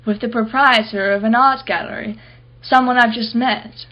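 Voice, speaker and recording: A woman acting a haughty, brittle society wife, higher-voiced. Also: fairly even intonation, moderate pace, bright, forceful tone, lo-fi sound. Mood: guarded, brittle. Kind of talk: radio play acting.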